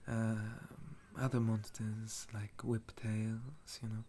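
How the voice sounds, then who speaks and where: A gentle, ancient male sun elf, acted by a man in an ASMR roleplay, with a lowish voice and very slow, hesitant delivery. He speaks softly and breathily, often sinking into a whisper like an old soldier telling tales at ease. Some noise sits behind the voice. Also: flat delivery. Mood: relaxed, musing.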